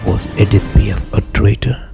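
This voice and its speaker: A man with a low, flat-pitched voice reads scripted narration as a podcast announcer. Music plays clearly underneath him. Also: moderate pace. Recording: loud music bed under voice.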